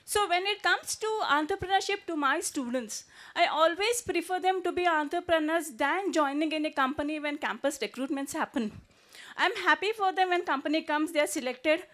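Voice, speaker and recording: A woman's voice: sits high, moderate pace, a bit bright. A woman asks a question at a panel. She sounds earnest and close to pleading. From India. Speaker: audience questioner, teacher.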